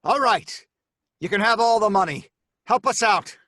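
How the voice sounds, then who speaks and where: A man's voice: sits high, normal speed, sharp tone, no room sound, clean studio sound. An actor playing an anxious robber who pleads and narrates his tale earnestly in an old-time radio drama. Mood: desperate. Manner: earnest, urgent.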